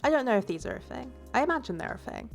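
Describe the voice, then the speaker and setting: Woman narrating her build video, a bit congested, with a gravelly edge and an easygoing, rambling delivery. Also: on the high side, very animated, very fast, faint music behind. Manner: casual and rambling, with fillers and self-corrections. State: stuffy from a cold. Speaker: game builder and YouTuber. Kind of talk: relaxed voice-over commentary on a building video.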